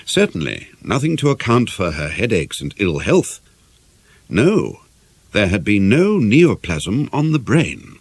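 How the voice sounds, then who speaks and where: Male audiobook narrator for mystery fiction: lowish pitch, animated range, measured formal delivery, dry close sound. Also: gravelly, breathy edge, no echo. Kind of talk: scripted narration of a murder mystery novel.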